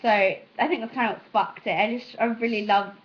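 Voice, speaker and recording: A woman's voice: fairly high pitch, steady tone, brisk. A young American woman from the South speaks in an adopted British accent, chatting casually and hesitantly as she meanders and interrupts herself. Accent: British, put on by an American. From Southern United States. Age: young adult. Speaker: amateur vlogger. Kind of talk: casual rambling chat to camera. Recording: dull, lo-fi sound.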